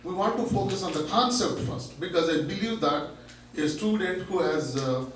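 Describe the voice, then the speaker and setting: Loud teacherly man in echoey hall. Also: high voice, lively intonation, moderate pace. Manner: loud, teacherly, explains with rhetorical questions. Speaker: guest speaker, learning center founder. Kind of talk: public talk to an audience. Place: large echoey room. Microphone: microphone at a distance. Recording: echoey room from far off, faint noise.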